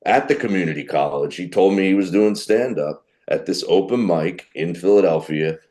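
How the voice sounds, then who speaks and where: Deep-voiced middle-aged man telling stories. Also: normal speed. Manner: animated storyteller who acts out quoted people. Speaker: guest comedian. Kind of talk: storytelling in a podcast interview.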